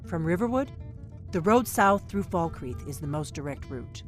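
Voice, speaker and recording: An actress voicing a stern, businesslike veteran female agent in a video game, brisk and no-nonsense. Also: lowish voice, animated, faint music behind, lightly acted. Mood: decisive.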